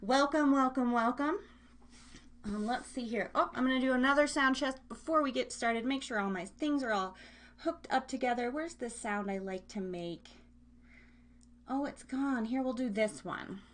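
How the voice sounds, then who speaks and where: A woman's voice: on the high side, normal speed. A woman hosting a live internet radio show in casual, scattered chat, speaking straight to her listeners. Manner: chatty, scattered, addresses listeners directly. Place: indoors at home.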